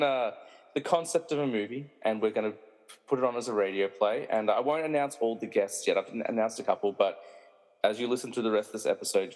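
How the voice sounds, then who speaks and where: A man's voice: lowish voice, a little mellow. Casual male host in a reverberant room. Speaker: podcast host. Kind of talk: casual chat. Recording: long echoing room reverb.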